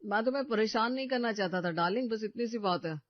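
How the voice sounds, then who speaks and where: A man plays a glib cartoon husband, teasing and quick with excuses. His voice is fairly high for a man, in a dry recording with a slightly muffled tone. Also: no echo, lightly acted. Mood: evasive.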